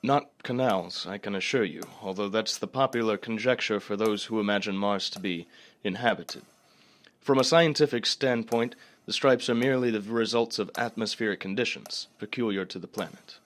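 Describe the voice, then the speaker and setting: A man playing a calm, self-assured astronomy professor, measured and matter-of-fact, in a radio play interview. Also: lowish voice, natural voice.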